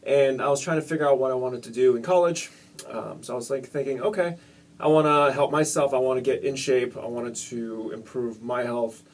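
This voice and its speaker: A young man, from Hong Kong, talks through his story as a fitness coach in a relaxed, casual way, filling pauses with ums and uhs. His voice sits at an ordinary middle pitch. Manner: casual, laid-back, many ums and uhs. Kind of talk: relaxed interview answers.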